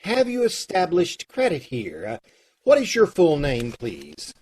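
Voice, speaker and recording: A man's voice: a little high, huge pitch swings, measured pace, darkish tone, husky, full character voice. A man playing a flustered middle-aged loan officer in an old-time radio comedy, repeating himself, stammering and laughing in exasperation, heard over a video call. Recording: distant, uneven video call audio.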